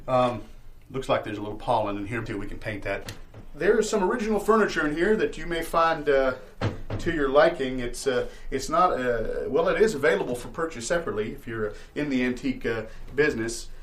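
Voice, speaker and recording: Man playing a halting, folksy landlord salesman. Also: medium pitch, expressive, slight background noise, close to an everyday voice. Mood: evasive. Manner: halting, hedging salesman, trailing off mid-sentence. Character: rambling, folksy male landlord evasively pitching a shabby rental cottage. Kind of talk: improvised comedy audio theatre.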